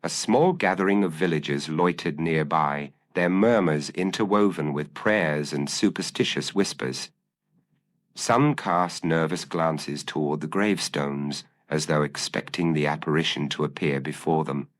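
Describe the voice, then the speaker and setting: A man narrating a gothic detective story in a measured, formal manner. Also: extremely low pitch, no echo. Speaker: audiobook narrator.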